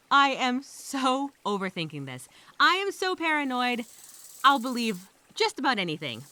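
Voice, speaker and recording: A woman's voice: medium pitch, pushed and bright. A woman voicing an anxious, rambling castaway who narrates a story as a dramatic, theatrical first-person journal. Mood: paranoid, uneasy. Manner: theatrical, overthinking, repeats herself, self-questioning.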